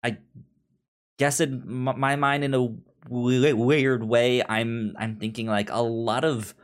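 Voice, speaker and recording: A man co-hosting a podcast, discussing comics casually, haltingly, often repeating and stuttering over words as he goes. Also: mid-range voice, level tone. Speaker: podcast co-host.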